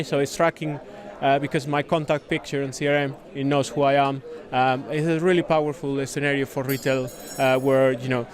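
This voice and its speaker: A man from Spain with a Spanish accent, a native Spanish speaker, explaining a product in a casual interview with halting, repeated words. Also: medium pitch. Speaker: software consultant demonstrating a product, interviewee. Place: busy conference venue.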